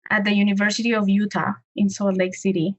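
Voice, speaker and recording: Colombian-accented woman in her late thirties, a Spanish speaker, being interviewed; thoughtful and self-correcting, medium pitch, slightly dull sound. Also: little pitch movement, fast talker. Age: late 30s. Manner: thoughtful, restarts while searching for words. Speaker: guest. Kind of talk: casual interview answers.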